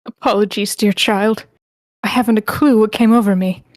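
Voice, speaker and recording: A woman's voice: medium pitch, steady tone, normal speed, darkish tone, dry recording, studio quality, lightly acted. A woman voicing a menacing monster spirit with theatrical, old-fashioned phrasing in a script read-through. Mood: apologetic. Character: menacing rope monster spirit, scornful, archaic speech. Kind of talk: screenplay table read.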